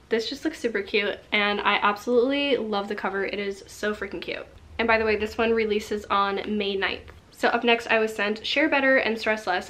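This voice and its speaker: A woman's voice: mid-range voice, a bit bright. A woman with a mild head cold and slightly nasal voice, chatting casually as host of a book vlog. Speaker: book reviewer and channel host. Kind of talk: casual scripted-feeling book haul vlog chat.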